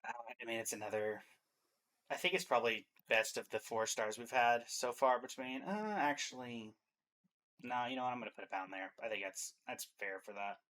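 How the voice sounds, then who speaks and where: A man's voice: medium pitch, a little fast, fairly bright tone. A man chatting casually as he hosts and comments in a reaction show.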